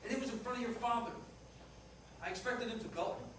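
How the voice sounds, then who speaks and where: A frank, remorseful working-class ex-fiancé, acted by a man in a stage play, plain-spoken and rather high in pitch. The sound is distant, with heavy room echo. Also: quick pace. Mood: matter-of-fact. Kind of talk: staged reader's theater dialogue. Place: indoor performance room, distant microphone. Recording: echoey noisy room recorded from far off.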